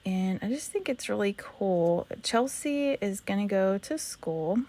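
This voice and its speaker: Hoarse, gravelly woman narrating gameplay. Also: medium pitch, expressive, unhurried, mellow. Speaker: let's play host.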